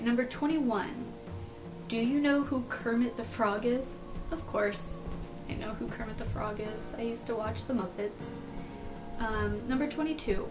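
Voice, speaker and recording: A woman's voice: fairly high pitch, low-quality recording. A young American woman from California chatting casually through questions in a vlog, informal and self-deprecating, rambling as she answers. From California, United States. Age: young adult. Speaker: video blogger answering tag questions. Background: background music.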